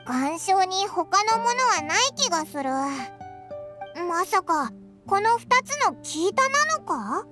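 A woman's voice: very high voice, big pitch swings, takes it slowly, heavily acted. A woman voicing a tiny, childlike fairy companion in an anime video game, with cute, cheeky, blunt speech. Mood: puzzled. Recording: prominent music behind the voice.

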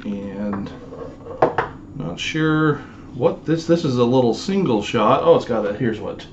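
A man's voice: mid-range voice, expressive, measured pace, highs cut off, background noise. Rambling man showing off old guns. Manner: rambling, many fillers, talks while handling items. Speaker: storage unit reseller. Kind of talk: casual show-and-tell vlog. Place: small home office, indoors.

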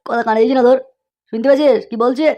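A high, hurried voice performed by a woman for an exasperated young cartoon husband. He blusters and scolds with a short temper, and the recording is dull and lo-fi. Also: fairly even intonation, mellow, natural voice. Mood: scolding, pleading. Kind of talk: comic cartoon dialogue. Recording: dull lo-fi sound.

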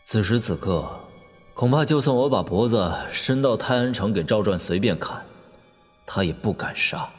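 An actor playing a calm, shrewd young warlord prince in a wuxia audio drama, measured and wry. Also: male voice, lowish voice, lightly acted. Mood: calm, resolute. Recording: long hall reverb, music underneath. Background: background music.

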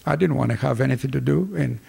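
An older man with a Greek accent, telling his wartime memories in a lively storytelling manner, acting out the dialogue. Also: medium pitch, fast talker, vocal fry, slightly rough, in a large hall. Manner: lively storyteller, acts out dialogue with hand gestures. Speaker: veteran fighter pilot. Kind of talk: recounting war memories. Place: office with flags, indoors. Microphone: desk microphones in front.

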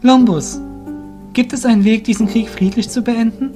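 Man voicing a troubled, high-pitched young warrior. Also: fairly even intonation, moderate pace, dark tone, faint music behind. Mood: doubtful, questioning. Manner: earnest, plaintive. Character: troubled, self-blaming young male warrior apprentice.

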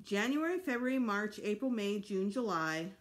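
A woman voicing an excitable, demanding, whiny preschool boy for a children's storybook. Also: mid-range voice, moderate pace, natural voice. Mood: showing off. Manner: whiny, insistent, childlike exclaiming. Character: excitable, demanding preschool boy, loud whining little brother. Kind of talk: children's book read aloud.

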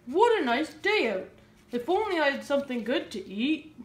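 A woman voicing a sly, nosy, hungry male wolf in a puppet show, with a drawn-out, scheming delivery. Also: high-pitched, very animated, echoey room.

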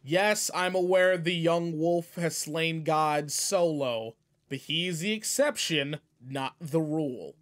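A man's voice: a little high, takes it slowly, a bit bright. A man playing a seasoned but laid-back space guardian mentor in a video game roleplay, casual, slangy and wry as he talks to a partner. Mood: teasing, instructing. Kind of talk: video game roleplay audio, in-character monologue.

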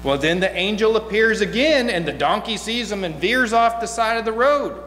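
A man's voice: high voice, normal speed. A middle-aged man giving an informal, story-driven sermon from a church pulpit in a folksy, conversational manner. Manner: folksy, conversational, animated asides. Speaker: guest preacher. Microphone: gooseneck pulpit microphone. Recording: echoey hall, long reverb, mains hum.

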